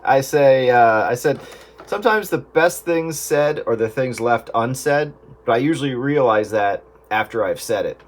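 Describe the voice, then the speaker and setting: A man's voice: mid-range voice, animated, normal speed. A man chatting casually over two-way radio in a self-deprecating way. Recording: radio transmission, faint static.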